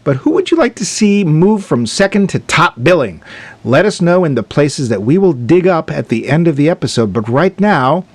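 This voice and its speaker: A man's voice: a little high, big pitch swings, normal speed, dry recording. A man co-hosting a movie podcast in casual, jokey chat, laughing often. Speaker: podcast co-host.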